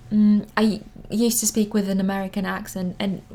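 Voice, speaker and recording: A woman around thirty, not a native English speaker, giving earnest advice to camera, hesitant with many pauses and restarts. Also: mid-range voice, flat delivery, mellow. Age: 30s. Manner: earnest, repeats words for emphasis. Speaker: vlogger. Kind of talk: casual advice talk to camera. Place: living in London.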